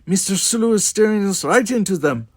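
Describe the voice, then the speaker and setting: A man voicing an excitable starship engineer with a put-on Scottish brogue in a dramatized audiobook. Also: high-pitched, slow pace, vocal fry, dry recording, pitched above the performer's own voice, heavily acted. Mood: agitated. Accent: mock Scottish.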